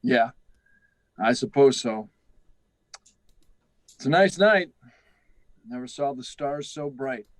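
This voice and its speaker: A man's voice: medium pitch, animated, slow, long pauses, dry recording. A man acting an earnest, authoritative official in a 1950s-style radio drama, speaking with urgent emphasis. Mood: grim, subdued. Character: earnest, authoritative male official handling a crisis.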